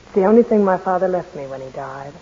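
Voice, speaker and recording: A woman's voice: on the low side, expressive, fast talker, mellow, husky, highs cut off. An actress playing a wry young woman newspaper editor who teases and probes, in 1940s radio drama style. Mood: earnest. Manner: wry, probing, lightly mocking.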